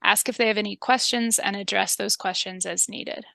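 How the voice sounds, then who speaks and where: Bright, polite woman with a creaky edge. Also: medium pitch, moderate pace, no room sound. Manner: calm, polite, methodical, professional. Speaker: public health interviewer and narrator. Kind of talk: scripted training demonstration.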